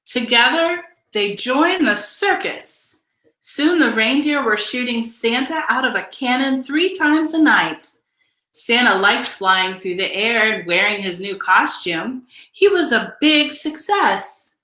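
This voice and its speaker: A woman reading a children's picture book aloud in an expressive, deliberate storytelling style. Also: a little high, roomy sound. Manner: measured, deliberate storytelling delivery with emphasized phrases. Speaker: storybook reader.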